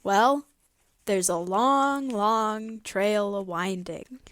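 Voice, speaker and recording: A woman's voice: medium pitch, very slow, a bit bright, dry recording. A woman co-hosting a podcast with casual banter and a sardonic, irreverent way of talking. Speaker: podcast co-host.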